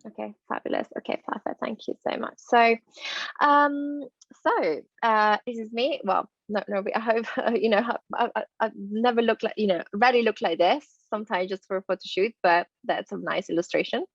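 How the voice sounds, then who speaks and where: A woman's voice: fairly high pitch, moderate pace, creaky, dry recording, lo-fi sound. A woman from northern Italy with an Italian accent, a dentist giving an online masterclass in a chatty way, full of fillers and restarts. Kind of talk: online presentation on a video call. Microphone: video call.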